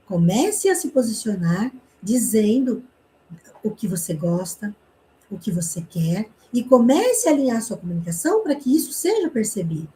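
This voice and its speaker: Warm-voiced woman teaching a class. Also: mid-range voice, very animated, moderate pace, poor audio. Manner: explanatory, with rhetorical questions and frequent "né?". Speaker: communication teacher. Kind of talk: online class lecture.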